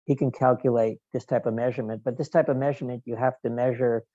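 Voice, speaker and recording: A man giving a technical talk in a halting, digressive, explanatory way. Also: medium pitch, steady tone, warm tone, no room sound, lo-fi sound. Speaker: guest lecturer, loudspeaker engineer. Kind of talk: technical presentation with question and answer.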